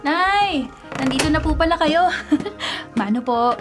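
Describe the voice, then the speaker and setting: A woman's voice: high-pitched, animated, slow pace, over music. An actress playing a self-centred, sweet-talking young girlfriend in a Filipino radio drama, with a Filipino accent. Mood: gushing, excited. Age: young adult. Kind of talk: Tagalog radio drama acting.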